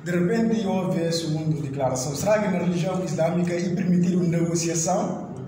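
A man's voice: on the high side, fast, dark tone. Distant man asking a question. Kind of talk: press conference question. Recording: distant mic in an echoey hall with noise.

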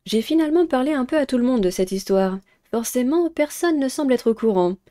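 A woman's voice: sits high, lively intonation, a little fast, warm tone. A young adult woman giving casual playthrough commentary and reading game dialogue aloud as a streamer.

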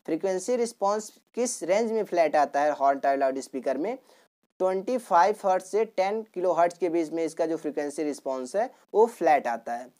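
A woman's voice: on the low side, expressive, brisk, warm tone. A woman giving a simple explanatory lecture as a teacher, repeating points and often adding short check-in tags. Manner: repetitive, with frequent check-in tags.

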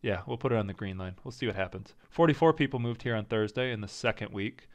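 A man casually commenting as he plays a strategy game, thinking aloud and second-guessing his choices, with a slight rasp and an occasional cough. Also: fairly low pitch, fast. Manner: thinking aloud, indecisive, self-talking. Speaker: gaming channel host. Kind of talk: casual let's-play commentary.

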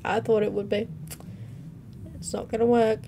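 A woman's voice: on the high side, little pitch movement, normal speed, gravelly. A young adult woman giving casual reaction commentary, reading captions aloud and interjecting informally between them. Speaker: reaction video host. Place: small room at home, indoors. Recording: noticeable background noise.

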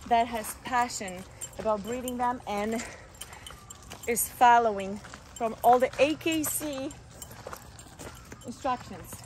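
A woman's voice: fairly high pitch, takes it slowly, long pauses, darkish tone. A middle-aged woman explaining things earnestly in a casual vlog, with non-native phrasing. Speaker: dog owner and vlogger. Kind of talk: casual vlog talk giving dog advice. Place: outdoors on a wooded hiking trail. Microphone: camera held by another person, a few steps away. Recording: noisy outdoor handheld camera audio.

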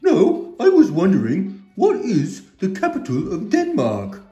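A man's voice: sits high, huge pitch swings, measured pace, mellow, echoey room, muffled recording, soft background music, voice pushed up, exaggerated voice. A man voicing a cheeky toy animal sidekick who interrupts and mocks in a children's puppet show. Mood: curious. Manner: mocking, interrupting.

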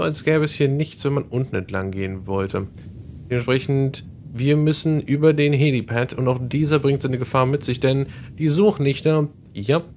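A man's voice: mid-range voice, darkish tone, a little hiss or hum. A man hosting a Let's Play, chatting casually and explaining the game as he plays. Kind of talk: casual gameplay commentary.

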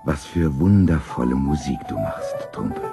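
A man's voice: rumbling low, animated, moderate pace, loud music behind the voice. A man voicing an old, kindly wooden elephant in a children's story, with an earnest manner. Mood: dreamy. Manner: earnest, sighing. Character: old wooden toy elephant, dreamy, kindly, eager helper.

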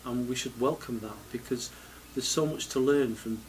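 A man's voice: medium pitch, moderate pace, a little hiss or hum, low-quality recording. A middle-aged man, a head teacher, answering an interview question in an earnest, reflective way in a small school office.

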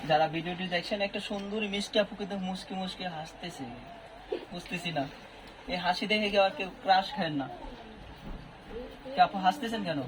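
Fast-talking Bangladeshi man interviewing. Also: high-pitched. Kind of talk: casual street-report style interview. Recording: noisy location with clear background noise.

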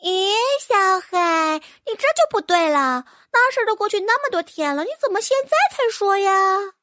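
A mischievous little fairy girl, high-pitched and bouncing all over the scale, as a woman puts her on. She teases and giggles like a child in a storybook. The voice is close and dry. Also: expressive, normal speed, pushed and bright, dry recording, exaggerated voice. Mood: teasing. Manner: giggly, teasing.

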